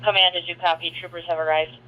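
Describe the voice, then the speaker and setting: A man's voice: on the high side, little pitch movement, quick pace. A man working as a police dispatcher, repeating reports back in a clipped, procedural way over radio. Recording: noisy, muffled police radio channel.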